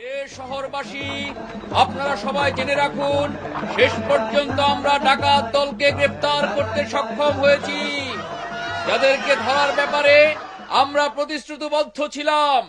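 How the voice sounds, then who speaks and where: A man's voice: very high-pitched, completely flat delivery, measured pace. A man voicing a proud, pious town official in a dubbed cartoon, speaking in a declamatory way. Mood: proclaiming. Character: proud, pious male official who arrests bandits. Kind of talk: dubbed cartoon acting, proclamation. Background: music.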